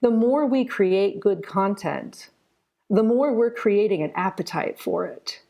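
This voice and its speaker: A woman's voice: mid-range voice, expressive, unhurried, creaky, dark tone. A woman speaking as a guest in a conversational interview, earnest and emphatic, often repeating words for stress.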